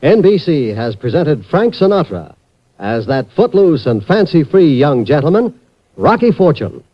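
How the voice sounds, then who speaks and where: A man's voice: a little high, very animated, unhurried, slight background noise. A man with an American accent announcing in scripted 1950s radio broadcast style. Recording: dull vintage 1950s radio recording.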